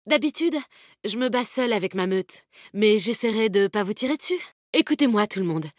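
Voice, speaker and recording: A woman's voice: mid-range voice, expressive, fairly quick, dry recording, clean studio sound, natural voice. An actress playing a tough, confident, protective woman fighter in a video game, with a bold, cheeky, commanding manner. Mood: cheeky, friendly. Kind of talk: video game character voice lines, combat callouts.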